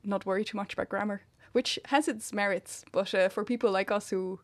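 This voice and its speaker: A woman interviewing a guest in a relaxed chat, friendly and quick to laugh. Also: medium pitch, no echo. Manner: chatty, laughs often, many back-channel yeahs.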